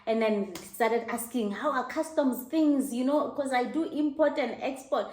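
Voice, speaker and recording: A middle-aged South African woman hosting her own show, telling a personal story in an animated, laughing way. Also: unhurried. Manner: animated, laughing, high-pitched mock exclamations. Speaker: host. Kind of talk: casual storytelling to camera. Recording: echoey room.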